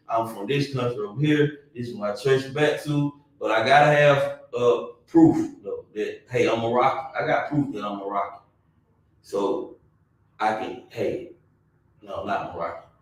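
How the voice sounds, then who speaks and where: Emphatic man in echoey room. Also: mid-range voice. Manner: forceful, emphatic, repetitive. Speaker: stream host. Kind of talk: heated casual debate. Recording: echoey room, mic at a distance.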